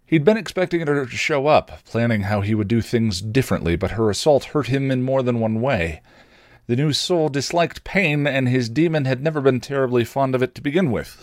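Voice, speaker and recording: A man reading fan fiction aloud as expressive story narration. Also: mid-range voice, no room sound, clean studio sound. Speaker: fan fiction reader on a podcast.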